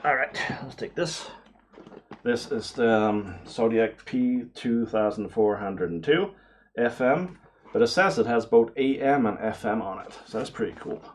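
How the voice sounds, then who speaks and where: A man giving casual unboxing commentary to camera, excitable and prone to awestruck exclamations and hesitations. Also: medium pitch, measured pace. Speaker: vlogger, radio amateur hobbyist.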